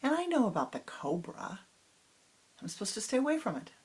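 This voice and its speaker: A woman's voice: sing-song, breathy edge, full character voice. A woman voicing a seven-year-old girl who is sure she already knows everything, in a storybook tale. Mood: eager. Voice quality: high, quick and bright.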